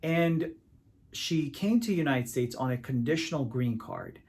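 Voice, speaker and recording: Measured immigration attorney from Pakistan. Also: male voice, mid-range voice, animated, vocal fry, darkish tone. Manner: measured, deliberate, frequent "uh" fillers. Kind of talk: explanatory legal talk to camera.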